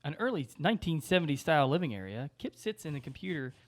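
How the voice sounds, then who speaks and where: A man's voice: fairly high pitch, sing-song, fast talker. A man narrating the stage directions at a comedy table read.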